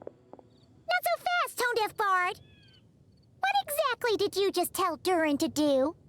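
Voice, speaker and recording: A woman's voice: extremely high pitch, very animated, takes it slowly, fairly bright tone, soft background music, full character voice. A woman voicing a chirpy, nosy little female companion with a bouncy, teasing, sing-song manner. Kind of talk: video game voice acting.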